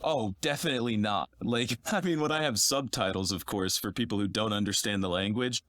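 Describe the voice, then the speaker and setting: A man's voice: lowish voice, brisk, a bit bright, no room sound. A man in his twenties, a guest on a podcast, chatting casually in a laid-back, self-deprecating way with lots of fillers. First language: English. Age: 20s. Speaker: guest polyglot.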